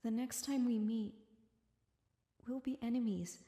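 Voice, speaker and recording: A woman's voice: fairly high pitch, steady tone, a little slow, warm tone, echoey room, big-room sound, slight background noise. A woman playing a young woman soldier who speaks solemnly in a fan radio play.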